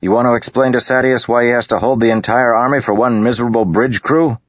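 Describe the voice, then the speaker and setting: A man's voice: fairly low pitch, normal speed, a bit bright, husky, dry recording, pitched above the performer's own voice. A man voicing a determined young male soldier who leads a bridge crew, speaking in an urgent, commanding way. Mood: insistent. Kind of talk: audiobook character reading. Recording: dull, lo-fi dry recording.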